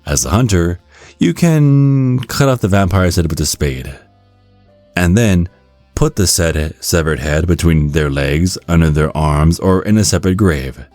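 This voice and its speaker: A man giving a scripted ASMR narration in a measured, instructional storytelling manner. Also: deep voice, expressive, darkish tone, dry recording, faint music behind. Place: close, dry recording with music behind.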